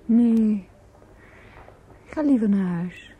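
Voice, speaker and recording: An actress playing a composed middle-aged mother in a radio play, chatting gently in an unhurried, conversational way. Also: medium pitch, lively intonation, breathy edge. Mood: tired, gentle. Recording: dull, muffled old radio recording.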